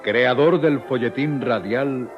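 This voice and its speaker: A man's voice: medium pitch, takes it slowly. A man announcing an old radio drama in a solemn, formal, melodramatic way, reading scripted narration. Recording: dull archival radio recording with music. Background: music.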